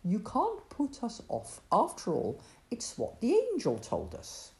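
A woman's voice: fairly high pitch, wildly swooping pitch, unhurried, creaky, a little mellow, pitched above the performer's own voice, heavily acted. A woman voicing a cheerful, good-humoured male shepherd who coaxes his friend along, in a storybook reading. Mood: jolly. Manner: jolly, coaxing.

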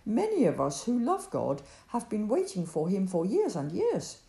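An eager young shepherd lad, as a woman acts him out, his voice jumping high with excitement and tripping over itself when he is nervous. The storybook reading sits in a roomy, echoing space. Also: moderate pace, creaky.

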